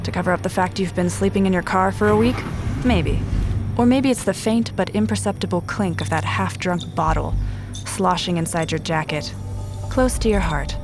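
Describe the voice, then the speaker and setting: An actress playing a sharp-eyed, no-nonsense female detective in a noir audio drama, deadpan and sardonic. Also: on the low side, moderate pace, lightly acted. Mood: cool, probing. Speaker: detective and narrator. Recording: music behind the voice.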